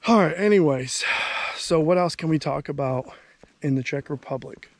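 A man's voice: a little high, normal speed, dry recording. A man in his thirties casually vlogging outdoors while walking along a country road, rambling and thinking aloud. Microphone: handheld camera at arm's length.

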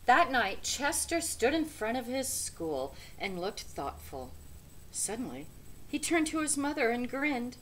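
A middle-aged or older woman reading a children's story aloud in an expressive, deliberate way. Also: a little high, a little hiss or hum, rough recording. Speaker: storybook reader. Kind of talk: children's read-aloud narration. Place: small indoor room with bookshelf.

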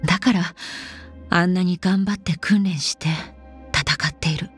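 An actress voicing a gentle, caring, mature woman in a game, soothing and concerned. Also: on the low side, unhurried, soft background music, lightly acted. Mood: concerned, tender. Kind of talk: scripted game character voice lines.

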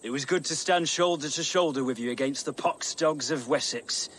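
An actor playing a hearty, loyal young warrior in a video game. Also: male voice, on the high side, moderate pace, a bit bright, a little hiss or hum, natural voice. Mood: warm, comradely. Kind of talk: dramatic video game acting.

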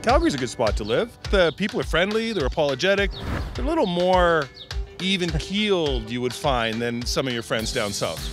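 A man's voice: fairly high pitch, big pitch swings, a little fast. A man answering casually as an interviewee outdoors, into a handheld microphone. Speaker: street interviewee. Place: outdoors in a city park or street. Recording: music behind a street interview.

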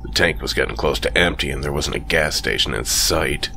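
A man narrating a first-person horror story in a slow, brooding scripted read. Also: very deep voice, creaky, bright, forceful tone, slight background noise.

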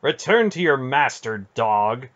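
A man's voice: on the low side, unhurried, pushed and bright. An ancient sorceress aunt, acted out by a male narrator, stern and imperious. She speaks with cutting, commanding intensity, as in a fantasy storybook. The recording is slightly dull and muffled. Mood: scathing. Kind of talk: dramatic audiobook character voice.

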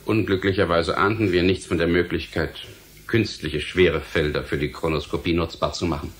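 Radio play casting: male actor as menacing official, low register, formal, measured, authoritative. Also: steady tone, lightly acted. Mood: stern, threatening. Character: stern, menacing male government official guarding forbidden science. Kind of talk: German radio drama acting.

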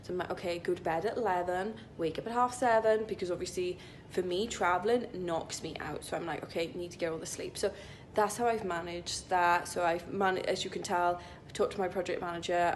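A young woman vlogs in a chatty, rambling way, with plenty of filler "likes". Her voice sits a little low. It is recorded on a phone at arm's length in a locker room, with faint rumbling noise underneath. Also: fairly even intonation, brisk, few pauses. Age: young adult. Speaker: vlogger, IT consultant. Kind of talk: casual vlog chat to camera. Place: indoor gym locker room.

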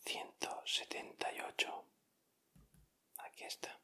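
A young man with a Castilian Spanish accent hosts in a whispered ASMR style. His whisper is crisp and bright, and he takes his time, speaking very slowly and directly to his viewers. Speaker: host of an ASMR channel.

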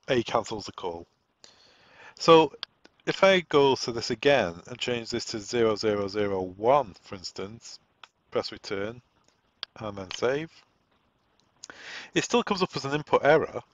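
A man giving an informal software setup walk-through, explaining conversationally and thinking aloud as he goes. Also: mid-range voice, animated, measured pace, pauses often. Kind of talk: informal software tutorial narration. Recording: dull, lo-fi, dry close recording.

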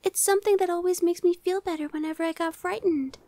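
Very high-pitched timid gargoyle girl. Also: steady tone, quick pace, mellow, dry recording. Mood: shy, hesitant. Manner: hesitant, stammering, pleading. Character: timid, anxious young female gargoyle, sweet and easily frightened. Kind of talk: ASMR audio roleplay acting.

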